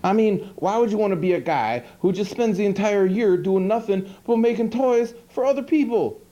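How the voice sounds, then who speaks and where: A man's voice: high voice, expressive, moderate pace, dark tone, dull audio. A man playing a grumpy, dim monster who hosts a TV horror-movie show, rambling and complaining in exasperated disbelief. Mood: indignant. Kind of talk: rambling comedic hosted segment. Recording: 1980s VHS TV recording with music.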